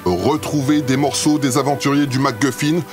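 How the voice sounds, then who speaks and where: A man's voice: medium pitch, moderate pace, music in the background, close to an everyday voice. A man playing a grandiose leader in a comedy audio drama, making lyrical, mock-solemn speeches. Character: grandiose male leader sending off adventurers.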